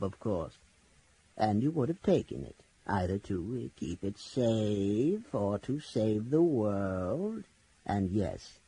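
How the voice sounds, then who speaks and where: A man's voice: mid-range voice, dark tone, slightly rough, no echo, full character voice, rough recording. A man voicing a doddering, sleepy old male wizard who rambles and loses his thread. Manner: rambling, trailing off, easily distracted. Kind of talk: audiobook character voice.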